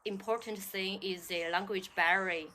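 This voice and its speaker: A woman from China speaks English with a Chinese accent in a bright, sharp voice. She hesitates, restarts often and readily agrees with what is said. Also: medium pitch, fairly even intonation, moderate pace, a little hiss or hum. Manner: hesitant, agreeable, many fillers. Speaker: guest, English learner. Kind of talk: casual conversation.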